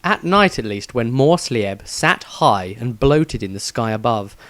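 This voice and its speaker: A man narrating a fantasy story aloud in an expressive storytelling manner. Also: mid-range voice, measured pace, no room sound.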